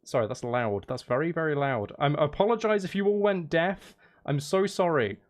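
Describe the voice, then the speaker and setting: A man's voice: a little high, moderate pace, over loud music. A man chatting casually to viewers on a livestream, rambling, repeating himself and breaking off mid-sentence in a jokey, self-deprecating way. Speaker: live streamer.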